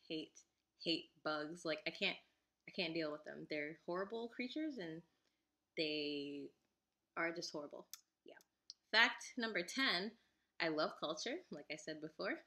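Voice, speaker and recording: Young woman, native Igbo speaker; casual giggly vlog chat, low-set but bright, forceful tone. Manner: chatty and giggly, lots of fillers. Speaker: vlogger.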